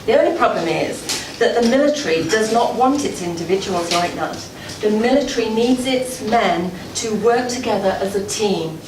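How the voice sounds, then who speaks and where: A woman delivering a prepared after-dinner address as club president, telling a story in a deliberate, measured way with dramatic pauses. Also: mid-range voice. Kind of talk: prepared public speech, storytelling. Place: indoor gala dinner room before an audience. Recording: echoey room, far from mic, noisy.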